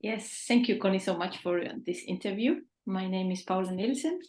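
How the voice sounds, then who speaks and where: A woman's voice: mid-range voice, normal speed, darkish tone, echoey room. A woman in her fifties from Chile, speaking earnestly as a guest on a video-call interview, with small restarts. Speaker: feng shui consultant, guest. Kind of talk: casual interview answers.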